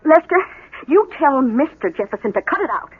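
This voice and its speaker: An American actress playing a fiery, protective small-town schoolteacher wife in a radio drama, emphatic and scolding. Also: female voice, sits high, sing-song, moderate pace. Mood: indignant. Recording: thin lo-fi old radio broadcast.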